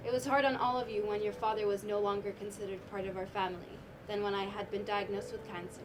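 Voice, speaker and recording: Casting: woman as tender, dying mother, mid-pitched voice, amateur stage monologue with clear background noise. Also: level tone, fast talker, lightly acted. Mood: tender, sorrowful. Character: loving, dying mother writing farewell letter. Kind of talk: amateur stage monologue, letter read aloud. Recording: noisy live stage recording.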